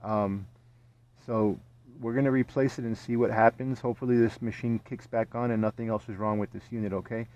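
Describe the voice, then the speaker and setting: A man's voice: fairly even intonation, brisk, a little mellow. A man working as an HVAC technician, explaining a repair step by step and checking in with "okay?" after each point, recorded on a body-worn camera in an attic and then in a backyard. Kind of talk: hands-on repair tutorial. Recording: dull, dry, low-fidelity sound.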